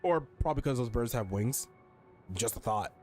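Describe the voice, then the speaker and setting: A man's voice: mid-range voice, animated, moderate pace, a little mellow. Casual man commenting over game music. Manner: informal, hesitant, repeats phrases, opinionated. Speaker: gaming streamer.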